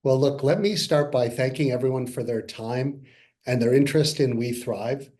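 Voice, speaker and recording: Man in his sixties, canadian-raised, earnest, measured, video call webinar pitch. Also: a little mellow. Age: 60s. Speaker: company co-founder presenting to investors. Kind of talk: webinar presentation. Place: parents' home, by video call.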